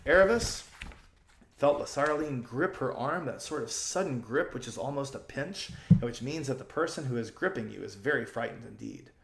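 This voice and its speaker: A man reading a children's story aloud as an expressive audiobook narrator. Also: mid-range voice, normal speed, creaky, reverberant, noisy background.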